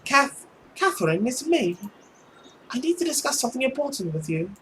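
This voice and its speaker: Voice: sits high, big pitch swings, moderate pace, fairly bright tone, slight background noise, voice pushed up, rough recording. A reader playing a proud, formal elder sister. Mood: serious. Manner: formal, dignified. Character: proud, weary, elegant older sister, a lady.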